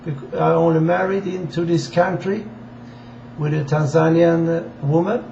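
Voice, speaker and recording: A man from Sweden with a Swedish accent gives an informal political address in halting, deliberate non-native English. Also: fairly high pitch, steady tone, creaky, warm tone. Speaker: amateur political campaigner addressing viewers. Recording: dull, echoey room with faint hiss.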